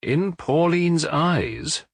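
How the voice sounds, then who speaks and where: A man's voice: fairly high pitch, extremely slow, gravelly, no echo, studio quality, pitched above the performer's own voice, heavily acted. A man voicing a small, sorrowful priest detective in an audiobook, solemn, earnest and imploring. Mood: urgent, imploring.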